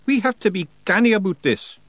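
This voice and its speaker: A man's voice: sits high, expressive, a little fast, a bit bright, no room sound, pitched above the performer's own voice. A man voicing a tiny, boisterous male fairy warrior chief with a broad Scottish accent in an audiobook. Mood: blustering. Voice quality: broad dialect, blustering and cheerful. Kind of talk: audiobook character voice.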